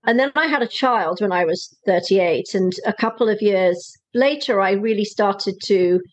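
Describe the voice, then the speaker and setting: A woman in her forties from Wales with a Welsh accent, chatting as a podcast guest in a relaxed, self-deprecating way with frequent fillers. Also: medium pitch, moderate pace, dry recording. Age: 40s. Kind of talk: conversational interview. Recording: slightly dull lo-fi dry sound.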